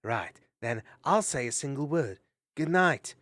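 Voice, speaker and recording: A man voicing a brave, hot-tempered young female mouse warrior who speaks in a brisk, commanding way. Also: mid-range voice, lively intonation, a bit bright, no echo, voice pushed up. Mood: scolding.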